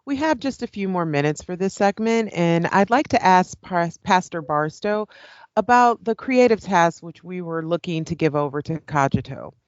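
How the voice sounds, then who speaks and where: A woman's voice: lowish voice, normal speed, no echo, highs cut off, lightly acted. An actress playing a polite, professional female talk show host in an audio play. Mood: brisk, professional.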